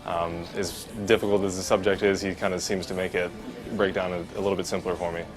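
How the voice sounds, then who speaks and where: A man's voice: sits low, level tone, fast talker, poor audio. A male student giving a casual interview testimonial. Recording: music behind the voice.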